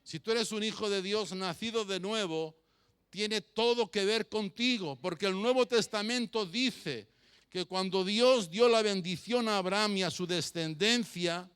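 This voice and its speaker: High-pitched, clear-voiced man preaching. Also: a little slow, fairly bright tone. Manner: emphatic, repeats phrases for effect; rhetorical questions addressed to listeners. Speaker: pastor preaching to a congregation. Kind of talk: sermon.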